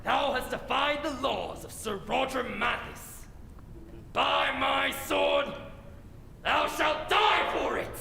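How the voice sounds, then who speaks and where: Casting: vengeful ghost swordsman, radio drama, menacing and grandly archaic, piercing bright tone, noisy echoing hall. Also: very high voice, slow pace, vocal fry, exaggerated voice. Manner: archaic, declamatory. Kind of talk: old-time radio drama acting.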